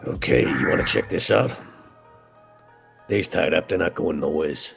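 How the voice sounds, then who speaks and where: A man playing a gruff, wary, streetwise vampire in a husky voice, with casual, profane slang. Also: very deep voice, lively intonation, moderate pace, faint music behind, exaggerated voice. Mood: wary, irritated.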